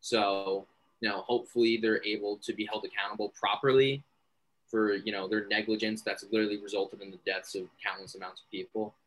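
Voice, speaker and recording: Young male co-host, earnest and emphatic, bright forceful tone. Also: on the low side, fairly even intonation, a little fast, poor audio. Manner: earnest, emphatic, repeats "again". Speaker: radio show co-host. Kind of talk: casual opinion talk.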